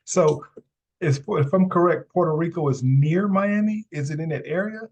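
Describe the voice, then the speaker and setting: A man's voice: fairly high pitch, normal speed, a little mellow. A man hosting an interview podcast, upbeat in a scripted intro, then asking chatty questions with repeated words. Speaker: podcast host.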